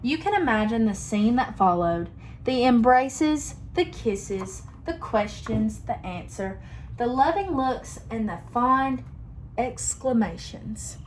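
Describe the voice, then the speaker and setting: A young woman teacher reading a picture book aloud to her class in a slow, expressive storytelling manner. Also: a little high, a little hiss or hum, rough recording.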